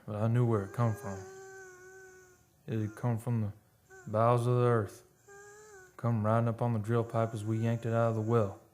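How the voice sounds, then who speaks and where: An actor playing a haunted, obsessed oil driller confessing a horror in a halting, brooding radio monologue. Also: male voice, fairly low pitch, level tone, a little mellow, slight background noise, natural voice. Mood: anguished, horrified. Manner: halting, brooding, dramatic storytelling.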